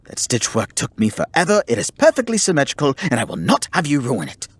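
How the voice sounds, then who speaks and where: A man playing a haughty, grudgingly caring male kitsune in an ASMR roleplay, speaking formally and theatrically with a breathy, husky voice. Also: a little high, wildly swooping pitch, brisk, dry recording. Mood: matter-of-fact, fussy. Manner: formal, theatrical, exasperated scolding. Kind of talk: ASMR roleplay acting, addressing the listener.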